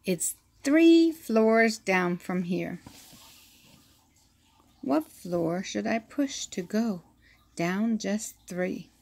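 A woman reading a children's picture book aloud, with an expressive storytelling delivery. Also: medium pitch, gravelly. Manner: slow, expressive reading with acted-out sound effects.

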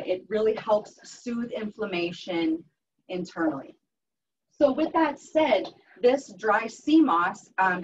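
A woman in her thirties with a Western Pennsylvania accent, a health coach teaching a live cooking class in an upbeat, earnest way with frequent ums and restarts. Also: mid-range voice. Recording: distant mic, dull sound, faint noise.

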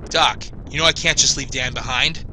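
A man's voice: medium pitch, moderate pace, sharp tone, a little hiss or hum. A man playing an anxious, loyal young village man in a game fan dub, earnest and informal. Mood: anxious, determined.

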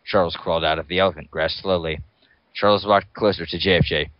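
A man's voice: sits low, fairly even intonation, fast talker. A man giving a dramatic reading of a story as its narrator. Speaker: reader. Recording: dull lo-fi close recording.

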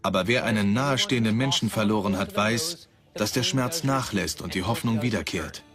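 A man's voice: fairly low pitch, moderate pace, lightly acted. A man delivering scripted documentary narration as a presenter. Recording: music under the voice.

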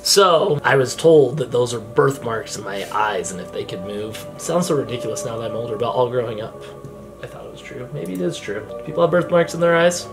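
A playful man in his thirties joking his way through a game with his wife at home. His voice has an even, middle pitch, and he talks into a headset in a small indoor gaming room with music under the chat. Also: fairly quick. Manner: playful, joking, self-mocking. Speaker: vlog host. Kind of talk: casual couple gaming chat.

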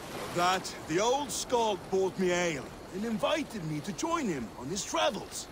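An actor playing a battle-worn Viking warrior-poet, telling sagas in a grandiose, poetic manner in a video game, with water and boat noise behind. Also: male voice, high-pitched. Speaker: storyteller. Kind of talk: dramatic video game monologue.

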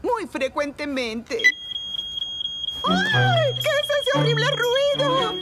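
A woman's voice: very high-pitched, big pitch swings, slow pace, loud music behind the voice, full character voice. A woman voicing a dramatic, gushing middle-aged shopkeeper mother in a cartoon dub. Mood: panicked. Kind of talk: animated children's cartoon dub.

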